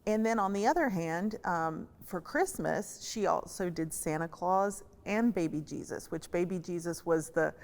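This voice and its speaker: A woman born in Colombia, a judge taking part as a guest, speaking in a measured way with frequent pauses. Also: lowish voice, darkish tone. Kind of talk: conversational discussion. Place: large library reading room. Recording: echoey library reading room.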